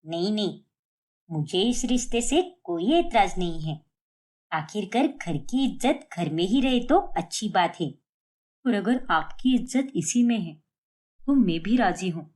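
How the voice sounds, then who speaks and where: A woman's voice: medium pitch, expressive, unhurried, a little mellow, voice pushed down. Woman as an agreeable elderly grandmother. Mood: conciliatory. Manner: conciliatory. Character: agreeable elderly grandmother, concerned for family honour.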